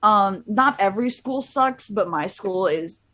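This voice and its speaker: Young woman, from Miami, casual chat, rambling, lots of "like", middle pitch. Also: animated, measured pace, sharp tone. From Miami, USA. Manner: rambling, heavy use of "like". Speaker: guest speaker, student abroad.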